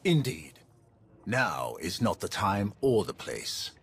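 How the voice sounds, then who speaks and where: A man's voice: lowish voice, very animated, takes it slowly, fairly bright tone, full character voice. A man playing a grim, formal Sith lord in a video game, speaking in a terse, imperious manner. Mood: curt. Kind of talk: video game cutscene acting. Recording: clear background noise and ambience.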